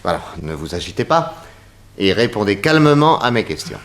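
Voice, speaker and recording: An actor playing a polite, probing, authoritative private detective in an early 1960s French radio mystery. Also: male voice, fairly low pitch, very animated, moderate pace. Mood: courteous, authoritative. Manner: inquisitive, courteous, theatrical.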